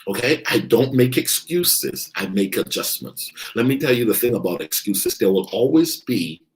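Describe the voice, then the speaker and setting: A man's voice: mid-range voice, animated, normal speed. A man from the Bahamas giving an emphatic motivational talk as a coach, repeating his key phrases for weight. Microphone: online video stream.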